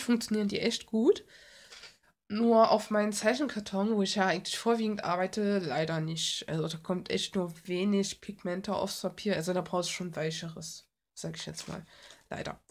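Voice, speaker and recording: A young woman rambling casually and thinking aloud in an offhand way, as an art vlogger chatting while she works at a desk at home. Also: mid-range voice. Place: small cluttered room at home. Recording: noisy home recording with clicks.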